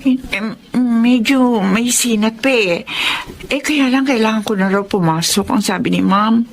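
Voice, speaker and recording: An actress playing a timid, dutiful young employee in a Tagalog radio drama, hesitant and deferential. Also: female voice, on the high side, unhurried, vocal fry. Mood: weary, hushed.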